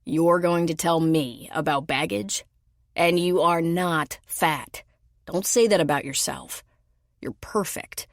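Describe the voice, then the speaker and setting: A woman voicing Tom, a gentle, earnest middle-aged man who keeps paying compliments. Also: lowish voice, slow pace, dry recording, clean studio sound.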